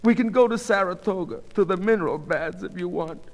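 A man voicing an anxious, excitable older wife in a story, fretting and pleading in exclamations. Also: high-pitched, brisk, voice pushed up, natural voice. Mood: fussing, soothing. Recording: long hall reverb.

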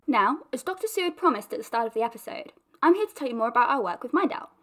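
A woman podcast announcer reading scripted credits and announcements. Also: a little high.

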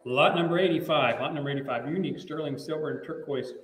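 American auctioneer chanting in a roomy hall. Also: male voice, a little high, fast, a bit bright, slight background noise. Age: middle-aged. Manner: repetitive rhythmic bid-calling with filler phrases. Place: large indoor auction room. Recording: roomy, picked up at a distance.

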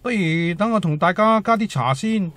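High-voiced chatty teahouse waiter. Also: male voice, animated, normal speed, a bit bright, a little hiss or hum. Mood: chirpy, deferential. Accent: Hong Kong. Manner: talkative, exaggerated, comic. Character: chatty, timid young male teahouse waiter, eager joker. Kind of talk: radio drama acting.

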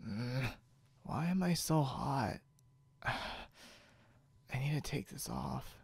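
Man playing a drunk young man, heavy gravelly fry, very slow halting delivery, stubborn and muddled, ASMR roleplay. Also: medium pitch, animated, no room sound, exaggerated voice. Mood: sleepy, needy. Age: young adult. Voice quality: gravelly, creaky. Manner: halting, rambling, mumbled drunk speech with repeated words. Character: tipsy, stubborn, boastful, confused young man.

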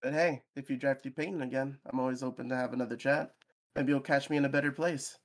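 A streamer's guest voicing a gruff but tender, apologetic male wolf ex-boyfriend in a visual novel. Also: mid-range voice, steady tone, fast, close to an everyday voice. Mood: resigned, gentle. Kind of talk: visual novel read-aloud. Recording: music under the voice.

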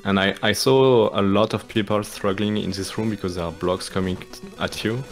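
Soft-spoken man with a French accent. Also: lowish voice, fairly even intonation, a little fast, soft background music. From France. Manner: hesitant, many restarts and fillers, apologetic. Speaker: speedrun tutorial commentator. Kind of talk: live game commentary explaining techniques.

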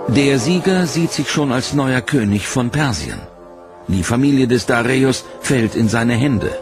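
A man with a gravelly, fry-edged voice narrates a documentary in a measured, serious way. Loud score music plays clearly behind him. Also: mid-range voice, animated.

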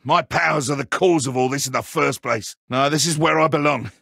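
Petulant fallen game villain, high gravelly voice, super melodramatic, draws words out. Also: male voice, a bit bright, no echo, studio quality, full character voice. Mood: resigned, guilty. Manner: melodramatic, drawn-out, emphatic. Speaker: video game voice actor. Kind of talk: dramatic animated game acting.

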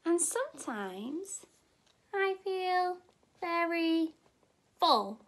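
A young woman, a nursery teacher, reading a children's story expressively and gently, as if to small children. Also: very high voice, very animated, extremely slow. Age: young adult. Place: indoor room at home.

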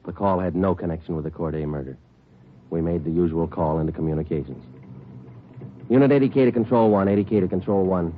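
A man's voice: low voice, fast talker, a little hiss or hum, close to an everyday voice. An actor playing a terse male police sergeant narrating a case in a clipped, matter-of-fact way, in a 1940s radio drama. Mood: flat, businesslike. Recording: tinny 1949 radio broadcast with music.

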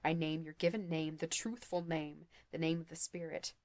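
A woman voicing a cruel, gloating male sorcerer in a slow, silky, menacing manner. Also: deep voice, flat delivery, darkish tone, dry recording.

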